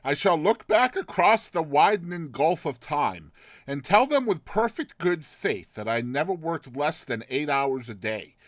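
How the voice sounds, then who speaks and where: A man reading a literary short story as narrator, in a dramatized, theatrical style with deliberate phrasing. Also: a little high, no room sound.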